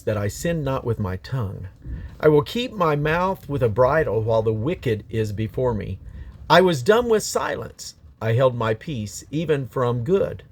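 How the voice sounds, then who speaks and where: A man reading scripture aloud in a solemn, emphatic, declamatory way, stressing his phrases. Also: mid-range voice. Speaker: Bible reader.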